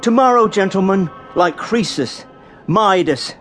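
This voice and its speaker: An actor playing a smug, learned criminal mastermind in a radio drama, speaking in a precise, formal and grandiloquent way. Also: male voice, high voice, lively intonation, a little slow, a bit bright, soft background music. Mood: gloating, grand. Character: smug, learned criminal mastermind, former professor and gas expert.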